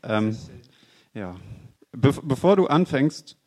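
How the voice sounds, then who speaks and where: A man hosting a church gathering who introduces a guest preacher and then prays for him, sounding friendly and growing soft-spoken in prayer. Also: mid-range voice, lively intonation, slow. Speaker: church host. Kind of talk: introducing a speaker and praying. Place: church hall.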